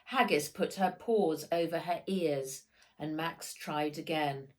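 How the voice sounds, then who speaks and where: A woman narrating a children's storybook expressively, with deliberate, dramatic emphasis, as the author reading aloud. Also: deep voice, a little mellow.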